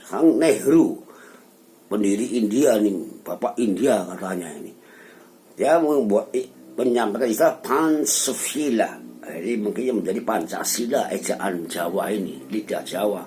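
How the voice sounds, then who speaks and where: Low, slow-paced man lecturing. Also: expressive, warm tone, a little hiss or hum. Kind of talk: informal explanatory lecture, reading out lists.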